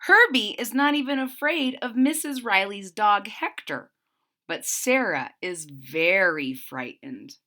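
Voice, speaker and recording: A woman reading aloud to children, expressive and explanatory. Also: mid-range voice, slow pace. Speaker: storybook reader.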